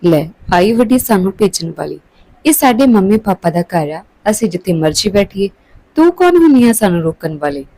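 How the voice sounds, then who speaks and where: A woman's voice: mid-range voice, very animated, normal speed, mellow, dry recording, poor audio. A woman voicing a bratty young girl with a defiant, taunting manner in a story.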